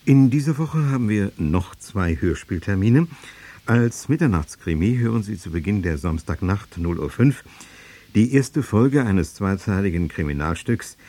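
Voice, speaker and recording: A man's voice: low-pitched, expressive, moderate pace, dark tone. A man giving a scripted programme announcement as a radio continuity announcer.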